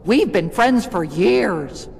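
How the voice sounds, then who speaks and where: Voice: mid-range voice, animated, takes it slowly, vocal fry, darkish tone, husky, in a large hall, heavily acted. An actor playing a chatty, wry stone gargoyle in a video game, with a sassy manner. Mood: matter-of-fact.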